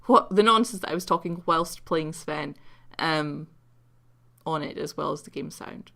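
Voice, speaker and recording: A woman talks through a video game replay in a loose, rambling way, full of ums and jokes at her own expense. Her low voice is croaky from sleep, with a gravelly fry and a bright, sharp edge. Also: a little slow. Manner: rambling and self-mocking, with many ums. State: croaky from just waking up. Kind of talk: casual replay commentary.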